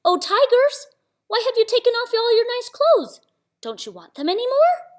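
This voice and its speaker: A woman's voice: very high-pitched, a little fast, voice pushed up. A woman voicing a small, polite, plucky little boy in a children's storybook, with a sing-song pleading manner. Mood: bold.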